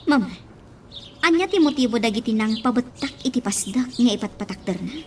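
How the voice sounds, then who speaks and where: A woman's voice: on the high side, animated, fairly quick, slight background noise, natural voice. A woman acting a distressed young daughter who keeps questioning, in a radio drama. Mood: upset, questioning. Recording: faint ambience with chirping birds.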